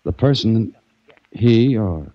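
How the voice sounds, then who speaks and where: An American man playing a calm, inquisitive adventurer, narrating a mystery in measured 1940s radio style. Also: fairly low pitch, noisy background. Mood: probing, concerned. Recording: muffled old-time radio recording, music behind.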